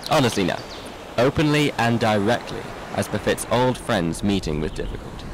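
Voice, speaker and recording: A man's voice: lowish voice, sing-song, normal speed, noisy background. An actor as a tipsy, intense middle-aged man. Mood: probing. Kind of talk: literary radio drama acting.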